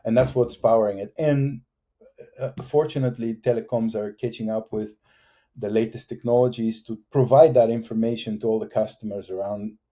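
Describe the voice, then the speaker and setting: A middle-aged man from Bulgaria with a Bulgarian accent, a guest talking casually in an interview over a video call, halting with many fillers and restarts. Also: lowish voice, steady tone, mellow. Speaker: guest engineer.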